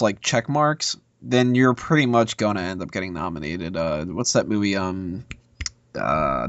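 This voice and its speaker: Male panelist, mid pitch, casual film opinions, discursive, dull lo-fi audio. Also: no room sound. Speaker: podcast panelist.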